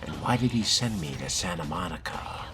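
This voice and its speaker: Fledgling vampire male performer: very deep, lively intonation, reserved and terse, game role-play. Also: normal speed. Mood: questioning. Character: male newly turned vampire, guarded and terse.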